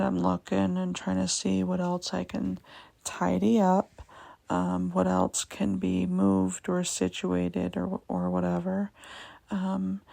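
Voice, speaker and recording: A young woman with a raspy voice giving a casual vlog voiceover, hesitant, with many ums, and repeating herself. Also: medium pitch, mellow, no echo. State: raspy voice, going in and out. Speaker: vlogger.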